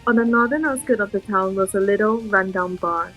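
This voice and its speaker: Mid-pitched woman co-host, teasing, reads aloud and stumbles, faint game music behind. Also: brisk, fairly bright tone, rough recording. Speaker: co-streamer. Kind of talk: casual chat and reading a story aloud.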